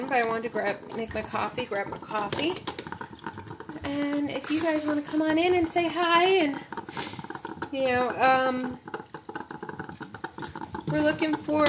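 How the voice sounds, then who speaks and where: A woman's voice: high voice, background noise. A woman with a head cold, sniffly, chatting casually and rambling to viewers on a live stream as a podcast host. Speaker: podcast host and mental health mentor.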